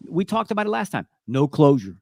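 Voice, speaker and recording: A man's voice: fairly high pitch, big pitch swings, fairly quick, vocal fry, dark tone, dry recording, clean studio sound. A man in his fifties hosting a conversational faith talk show, speaking emphatically and often repeating himself to check in. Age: 50s. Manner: emphatic, repetitive, checks in with "right?". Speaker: show host.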